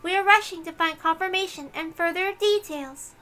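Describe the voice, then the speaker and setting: Woman doing a very high-pitched prim inquisitor. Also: moderate pace, bright, forceful tone. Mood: formal report. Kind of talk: visual novel read-aloud.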